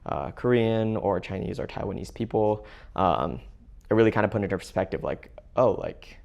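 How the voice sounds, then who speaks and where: Low gravelly young man. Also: lively intonation, darkish tone, highs cut off. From Seattle area, United States. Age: young adult. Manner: halting, many fillers and restarts. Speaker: interview guest. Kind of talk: casual conversation.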